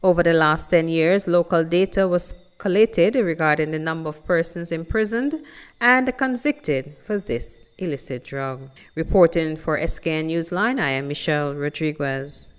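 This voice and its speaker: A woman's voice: deep voice, normal speed, clean tone. A woman reading scripted news narration as a reporter.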